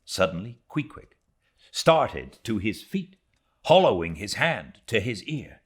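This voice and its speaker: A British man giving a dramatic reading of a literary audiobook, theatrical in delivery, moving between swelling intensity and hushed tones. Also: fairly low pitch, very animated, takes it slowly, slightly rough. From Britain. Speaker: audiobook narrator.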